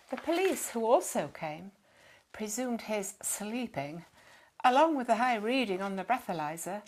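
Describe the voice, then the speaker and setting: A woman narrating a children's story in an expressive, scripted storytelling style. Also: medium pitch. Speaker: storyteller reading a short story.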